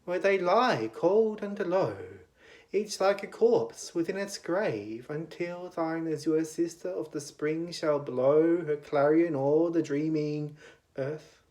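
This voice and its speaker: A man giving a dramatic recitation of poetry in a declamatory manner, with long pauses between phrases. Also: a little high, a little mellow. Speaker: poetry reader.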